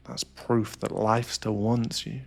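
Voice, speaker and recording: Male meditation guide, lowish pitch, hushed and deliberate dramatic delivery, intimate ASMR narration with faint music underneath. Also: level tone, creaky.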